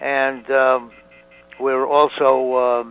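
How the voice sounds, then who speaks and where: An elderly man in his nineties answers slowly, stopping often with fillers and restarts. His first language is Italian and he is hard of hearing. The recording is dull and muffled. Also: mid-range voice, flat delivery, background noise. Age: 90s. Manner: slow, halting, many fillers and restarts. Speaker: museum founder. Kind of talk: interview answers.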